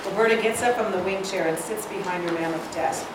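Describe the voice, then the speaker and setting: A woman's voice: on the low side, fairly quick. A woman reading stage directions aloud as scripted narration at a screenplay reading. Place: indoor reading hall, recorded from far off. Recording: echoey room, distant mic, clear noise.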